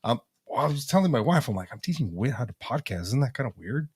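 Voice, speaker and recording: A man talking casually as a podcast guest, slangy, with halting, informal phrasing. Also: mid-range voice, very animated, darkish tone, slightly rough, no echo, clean studio sound. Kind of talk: casual chat.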